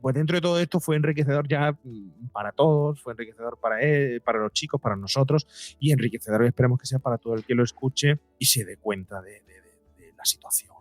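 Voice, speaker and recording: Male, medium pitch. Interview guest who explains by example and repeats for emphasis. Dry sound. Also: brisk, dry recording, faint music behind. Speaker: psychologist and podcaster, interview guest. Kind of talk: explanatory conversational interview talk.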